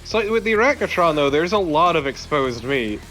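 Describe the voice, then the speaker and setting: A man banters casually as a game co-commentator. His voice sits a little high, with a wry delivery. Music plays faintly behind him. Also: big pitch swings, moderate pace, a bit bright. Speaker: let's play co-commentator. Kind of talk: casual banter over gameplay.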